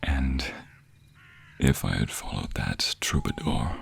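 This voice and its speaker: Guy acting a devoted vampire lover, really deep breathy voice, slow n intimate, audio roleplay. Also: very animated, a little hiss or hum, heavily acted. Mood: devoted, earnest. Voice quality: low, husky, breathy, often dropping to a whisper. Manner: slow, tender, ornate old-fashioned phrasing. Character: devoted, protective vampire boyfriend, secretly a creature of the night. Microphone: close binaural microphone.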